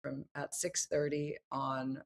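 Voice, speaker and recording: Gravelly, effusive woman podcast host. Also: a bass voice, level tone. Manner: effusive, quick reactions. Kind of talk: casual interview talk.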